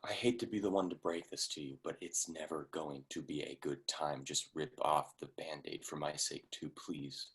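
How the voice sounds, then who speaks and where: An actor in a staged play reading, playing a blunt but awkwardly tactful investor friend, with a wry, conversational delivery. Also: male voice, a bass voice, fairly even intonation, normal speed, hardly any pauses. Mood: awkward, frank.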